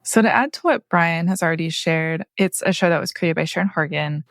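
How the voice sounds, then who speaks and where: A woman's voice: lowish voice, a little fast, creaky, no echo, studio quality. A woman who produces the podcast, casually retelling a story in a chatty way that is full of fillers. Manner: chatty, uses many fillers like "like".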